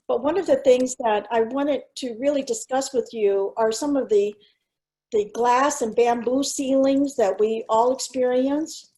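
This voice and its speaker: A middle-aged woman, first language Cantonese, gives a keynote as a school superintendent, moving through slides at a measured pace. Her voice sits a little high, and she talks earnestly with small pauses and fillers. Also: mellow. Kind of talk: presentation with slides.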